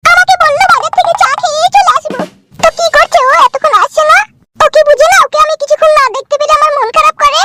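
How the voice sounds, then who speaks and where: A shrill cartoon wife, squeaky and extremely high. She scolds and curses jealously at a quick clip. Loud music runs behind her. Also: steady tone. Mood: playful, fond. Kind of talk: comedy cartoon voice acting.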